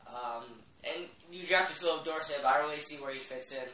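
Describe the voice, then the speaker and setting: A man's voice: a little high, a little fast, pushed and bright. A man with a cold, sniffling slightly, giving casual football predictions in a rambling, opinionated way full of ums. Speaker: sports vlogger. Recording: echoey room, far from mic.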